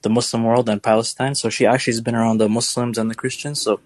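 A man's voice: mid-range voice, level tone, fast talker, dry recording. Chatty young man calling in. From United States. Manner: rambling, chatty, laughs while telling stories. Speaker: caller. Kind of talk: casual call-in question.

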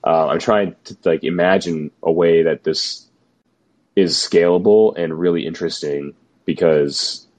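A man co-hosting a podcast, a little hoarse from being sick, musing skeptically in casual chat. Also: deep voice, measured pace, darkish tone. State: slightly losing his voice, sick. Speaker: podcast co-host.

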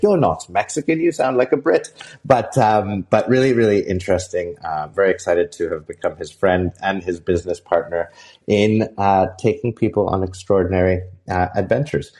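A young man hosting a casual podcast interview, talking haltingly with many fillers and restarts. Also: on the low side, darkish tone. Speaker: podcast host.

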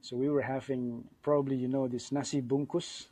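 Mellow Indonesian-accented man telling a story. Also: medium pitch, little pitch movement, brisk, husky, dry recording. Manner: friendly, chatty, with many fillers. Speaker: podcast guest. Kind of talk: casual storytelling.

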